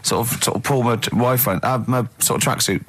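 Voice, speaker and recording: Male radio host, mid-pitched, trading casual comic banter in a mock-serious, teasing way, giggle never far off. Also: fast, a bit bright.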